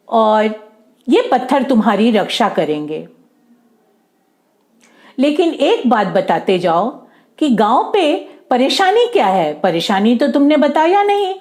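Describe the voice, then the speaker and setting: A woman as a slow, insistent female serpent spirit. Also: a little high, darkish tone. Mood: instructing, questioning. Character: ancient female shape-shifting serpent, protective and firm.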